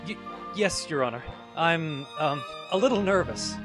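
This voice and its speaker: A man's voice: on the high side, animated, measured pace, music in the background. A man acting a nervous, earnest young rookie defense lawyer in a game fandub, a little hesitant.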